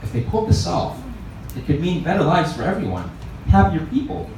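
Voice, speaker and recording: A man's voice: fairly high pitch, sing-song, fairly quick, darkish tone. A man narrating a story aloud expressively, as a book reader. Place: reverberant room at a live reading event. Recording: echoey room, distant mic, faint noise.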